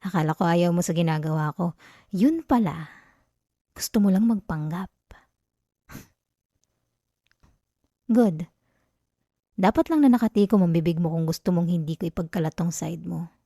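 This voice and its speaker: A woman's voice: on the low side, moderate pace, long pauses, warm tone, no echo, clean studio sound. A Filipino woman playing a possessive, teasing, obsessive girlfriend in an intimate ASMR roleplay, speaking straight to the listener. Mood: menacing, taunting. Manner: intimate, teasing, code-switching into English words.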